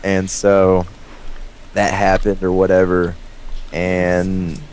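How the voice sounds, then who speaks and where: Low-voiced young guy rambling through a story. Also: takes it slowly, a little hiss or hum. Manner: rambling, profane, animated retelling with quoted dialogue. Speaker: gamer telling a story. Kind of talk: casual storytelling over gameplay.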